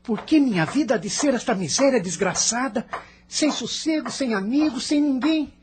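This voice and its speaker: A woman's voice: fairly high pitch, lively intonation, moderate pace, a little mellow, faint music behind, close to an everyday voice. A woman voicing a bitter, complaining thirteen-year-old boy with a Brazilian accent in an audio novel. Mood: bitter, self-pitying. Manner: complaining, sarcastic.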